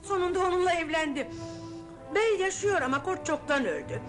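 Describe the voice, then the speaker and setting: A woman playing a chatty, fond aunt in a radio play, lively and talkative as she reminisces. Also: very high voice, a little fast. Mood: reminiscing. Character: chatty middle-aged aunt, gossipy, fond. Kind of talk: 1970s Turkish radio drama acting. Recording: old radio broadcast with music.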